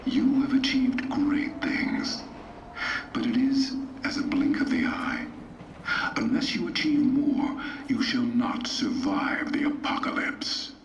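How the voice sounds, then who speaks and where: A man's voice: mid-range voice, wildly swooping pitch, slow. An actor playing a grandiose, ominous male cult prophet in a video game, portentous and whispery. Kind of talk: video game cutscene acting. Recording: dull, echoing sound with faint noise.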